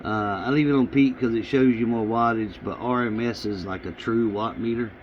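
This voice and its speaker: A man gives a casual, unscripted walkthrough of his equipment in a halting, rambling, plain-spoken way, recorded in a room at home. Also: medium pitch, fairly even intonation. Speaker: hobbyist vlogger showing radio gear. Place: small home radio room, indoors. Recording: muffled lo-fi audio with background noise.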